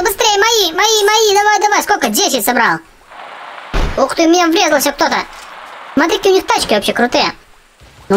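An excitable gaming host giving casual gameplay commentary for children, often exclaiming and addressing viewers. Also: medium pitch. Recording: music behind the voice.

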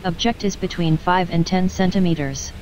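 A woman's voice: on the low side, steady tone, normal speed, darkish tone, a little hiss or hum, rough recording. A synthetic female voice reading out short automated announcements. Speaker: automated voice prompt.

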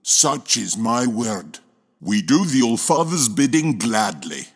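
Husky, surly lone warrior. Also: male voice, medium pitch, big pitch swings, slow, heavily acted. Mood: surly, defiant. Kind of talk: game voice lines, dramatic acting.

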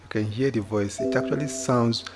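A man walking viewers through a software tutorial, often repeating himself and checking in with "okay?". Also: mid-range voice, moderate pace, music in the background. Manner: repetitive, with frequent "okay?" checks. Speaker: tutorial host. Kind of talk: screen-recorded software tutorial. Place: indoors at a computer, voice-over on screen capture.

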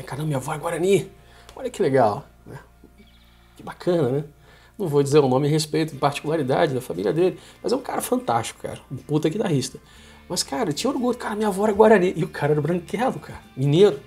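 A man's voice: on the high side, a little mellow, slightly rough. A Brazilian man in his forties giving an informal, emphatic opinion monologue to camera in colloquial, slangy speech. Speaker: vlogger. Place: small home office, indoors.

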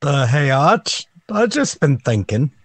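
An actor playing a dim-witted, guileless tavern regular in 1940s radio comedy style. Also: male voice, fairly high pitch, very animated, a bit bright, no room sound, exaggerated voice. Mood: earnest, dopey. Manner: slow-witted, blunt, guileless.

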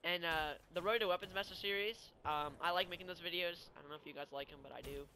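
A man's voice: sits high, fast, sharp tone, a little hiss or hum. A young man, chatting and telling stories casually over gameplay in a rambling, informal way. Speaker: gaming video creator.

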